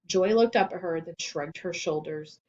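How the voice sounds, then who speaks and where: A woman reading children's fiction aloud as expressive scripted narration. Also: fairly low pitch, normal speed, lo-fi sound. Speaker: storybook reader.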